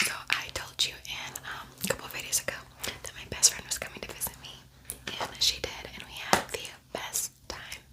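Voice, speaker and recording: A woman speaking in a breathy whisper, chatting informally and softly as she hosts an ASMR makeup tutorial. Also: a little fast. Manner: soft-spoken, informal, chatty. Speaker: beauty ASMR video creator.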